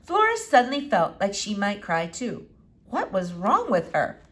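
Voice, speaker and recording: A woman reading a children's novel aloud in an expressive storytelling style. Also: medium pitch, measured pace. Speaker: storybook reader. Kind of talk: expressive read-aloud narration.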